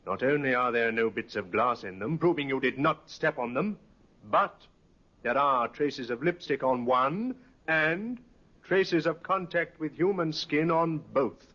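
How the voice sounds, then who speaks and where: A man's voice: mid-range voice, measured pace, sharp tone. A man playing a stern, methodical police inspector in a 1950s radio drama, with dry, accusing sarcasm. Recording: dull vintage radio recording.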